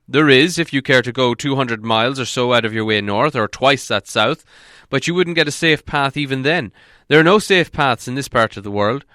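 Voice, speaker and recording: A commanding old wizard, acted by a male storybook reader, bright and clear, pitched a little above the reader's own voice. He lectures emphatically, stern but with good cheer, in a close, dry sound. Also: moderate pace, no echo, natural voice. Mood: lecturing, wry.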